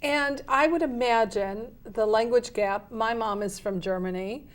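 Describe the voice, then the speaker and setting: A woman's voice: on the high side, unhurried. A woman hosting a community television talk show, prompting her guest with questions and short affirmations. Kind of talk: community TV interview.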